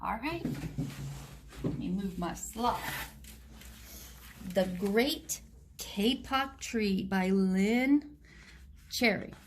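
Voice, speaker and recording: Slow-paced woman reading a picture book. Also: mid-range voice, animated, background noise. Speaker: children's story reader. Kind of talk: picture-book read-aloud with asides.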